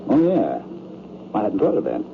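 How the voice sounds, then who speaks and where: An actor playing a blunt, uneasy rich man in an old-time radio drama, with halting, defensive, streetwise speech. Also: on the low side, wildly swooping pitch, creaky, exaggerated voice. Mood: awkward, probing. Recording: tinny, noisy old radio broadcast.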